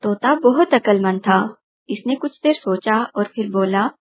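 A woman's voice: medium pitch, unhurried, husky. A woman narrating a scripted children's story.

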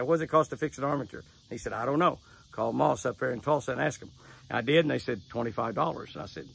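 Gravelly older man, folksy storytelling. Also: mid-range voice, a bit bright. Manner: folksy storytelling, repeats key phrases. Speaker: devotional host and angler. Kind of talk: casual outdoor devotional and fishing tip. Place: lakeside lawn outdoors at sunrise.

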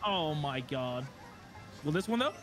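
A man's voice: a little high, sing-song, measured pace, a bit bright, soft background music. A man chatting and swearing over a racing game with friends, often exclaiming and laughing. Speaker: gaming friend. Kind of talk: casual gaming chat.

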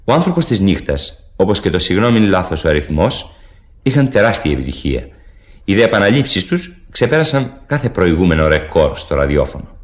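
A man with a very low voice introduces a radio drama. He reads formally and in measured fashion, with a widely varied, expressive intonation. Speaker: radio presenter. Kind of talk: scripted introduction to a radio play.

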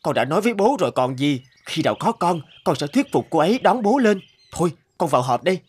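An exasperated, high-pitched son. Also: male voice, sing-song, fast, slightly rough. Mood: impatient. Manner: scolding, emphatic. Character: impatient, busy adult son, proud and easily exasperated. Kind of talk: animated moral story dubbing.

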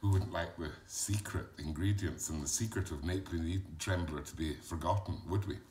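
A man's voice: low-pitched, normal speed, a little mellow, slight background noise, close to an everyday voice. A man playing a chatty middle-aged bloke with a mixed Yorkshire and Glaswegian accent, filming a cooking video in a home kitchen and rambling off into gossip and stories. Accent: Yorkshire with Glaswegian mix. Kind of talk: comic character monologue.

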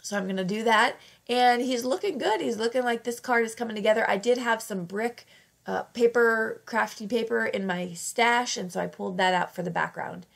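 A woman with a slight cold talks through her craft project in a chatty, easygoing voice-over. Her voice has a gravelly, creaky edge, and she often corrects herself mid-sentence. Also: fairly high pitch, normal speed. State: fighting off a cold. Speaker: craft channel host. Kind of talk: casual craft tutorial voice-over.

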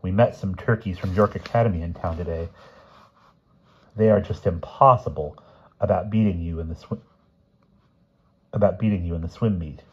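A cheeky storybook schoolgirl done by a male reader, breezy and sure of herself. The delivery is airy and offhand, with a husky grain. The recording is lo-fi. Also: low voice, normal speed, pauses often. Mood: chatty.

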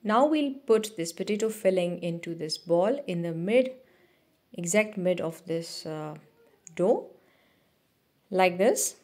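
A woman narrating a cooking video as a voice-over, giving steady step-by-step instructions with small restarts. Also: on the low side, slow, long pauses. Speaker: cooking channel host.

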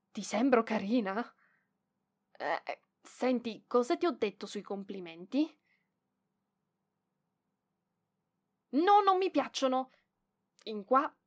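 Proud, flustered young librarian, acted by a woman, slow-paced. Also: medium pitch, natural voice. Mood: bashful, softened. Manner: hesitant stammering, defensive, bashful. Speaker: voice actress. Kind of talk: ASMR roleplay voice acting.

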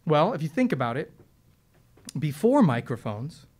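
A man's voice: on the high side, very animated, moderate pace, a little mellow, breathy edge. A man who sings opera talks casually and enthusiastically to an audience, explaining his craft with lots of fillers and restarts. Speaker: opera singer and presenter. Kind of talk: relaxed explanatory talk to an audience. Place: stage or studio with piano.

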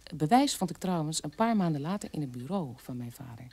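An actress playing a bitter adult daughter of a war criminal, recounting her childhood in an emphatic, halting radio play monologue. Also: low voice, sing-song, gravelly, a little mellow, husky, exaggerated voice. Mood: insistent, bitter.

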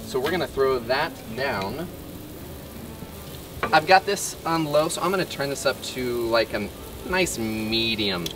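The jokey guy at the backyard griddle, a lively, lightish man's voice talking you through dinner over background music. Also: medium pitch, measured pace. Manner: joking, self-deprecating. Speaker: cooking show host. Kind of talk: casual banter while cooking. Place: outdoor covered patio griddle kitchen. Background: music, food sizzling.

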